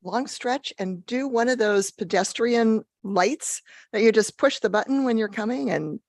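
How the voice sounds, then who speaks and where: A woman on a budget committee with a hoarse voice, chatty and blunt as she asks questions at a public meeting. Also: a little high, measured pace, darkish tone, dry recording, clean studio sound.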